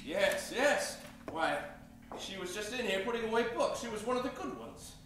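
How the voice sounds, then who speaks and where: Man acting a high-strung, flustered prison guard. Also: expressive, normal speed, a bit bright. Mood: startled, wry. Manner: flustered, exclamatory, protesting. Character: panicky, vain, shifty male prison guard. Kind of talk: comic old-time radio play acting. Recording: roomy stage echo, distant mic, faint noise.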